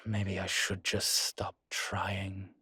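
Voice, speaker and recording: A man playing a guilt-ridden, centuries-old vampire in an audio drama, speaking gravely and formally with weary, deliberate pauses. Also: on the low side, fairly bright tone, dry recording, clean studio sound, exaggerated voice. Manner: slow, grave, deliberate phrasing with long pauses. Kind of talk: dramatic audio roleplay acting.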